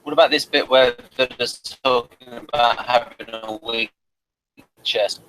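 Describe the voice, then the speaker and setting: A man with a bright, forward voice hosts a casual review. He reads out notes and comments on them with dry, wry humour. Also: medium pitch, steady tone, a little slow, slight background noise, poor audio. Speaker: review show host. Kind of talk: casual review chat, partly reading aloud.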